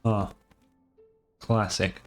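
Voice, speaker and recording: A man's voice: fairly low pitch, little pitch movement, very slow, soft background music. A man giving casual, incredulous, sweary reaction commentary as a game streamer.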